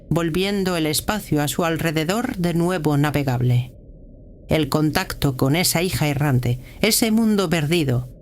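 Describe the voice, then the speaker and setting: A woman playing a grave, guarded prioress of a militant religious order in a sci-fi audio drama, speaking with measured authority. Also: deep voice, a little hiss or hum.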